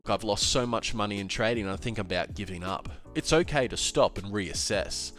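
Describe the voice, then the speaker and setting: A man's voice: on the low side, moderate pace, soft background music. A man with a stuffed-up, slightly nasal voice, talking casually and emphatically about trading in a solo video. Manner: animated, emphatic, with filler and restarts. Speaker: crypto trading educator.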